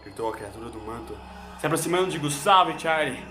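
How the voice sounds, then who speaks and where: A man's voice: mid-range voice, animated, fast talker. A man narrating a scripted dramatic story in a solemn, theatrical way. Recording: echoing voice over music.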